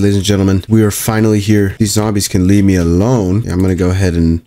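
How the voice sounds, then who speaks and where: A young man giving casual, joking commentary while playing a video game, with a calm voice and chatty asides to his viewers. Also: on the low side. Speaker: gaming YouTuber.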